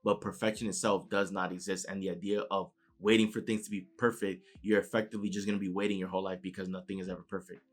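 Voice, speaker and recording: New York man with a lowish voice. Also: flat delivery, fast, hardly any pauses. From New York City. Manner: conversational, keeps adding "right?" tag questions. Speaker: motivational speaker. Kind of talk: motivational talk to camera.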